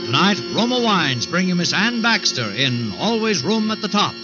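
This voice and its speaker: A man's voice: high-pitched, big pitch swings, normal speed. A man announcing a show in dramatic 1940s radio style. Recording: dull old radio recording, loud music.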